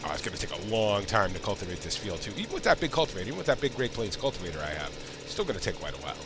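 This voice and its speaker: A man's low, congested voice rambles along in easygoing let's-play commentary, swinging up and down in lively pitch as he wanders through asides. He sounds sick and stuffed up. A loud game engine drone sits right under him. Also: rapid-fire, noisy background. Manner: rambling, chatty asides while playing. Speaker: gaming channel host.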